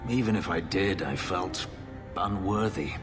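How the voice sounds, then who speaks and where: A haunted former slave turned elf warrior, his voice very low and darkly brooding. He speaks in measured, formal, clipped sentences, holding himself in check. A loud game score plays under him. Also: over music. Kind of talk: video game cutscene dialogue.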